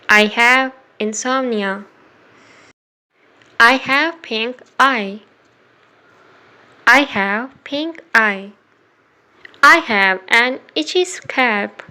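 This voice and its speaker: A woman reading short scripted example sentences as a language drill, in a deliberate, instructional way with evenly spaced words. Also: fairly high pitch, sharp tone, clean tone. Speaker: English vocabulary teacher.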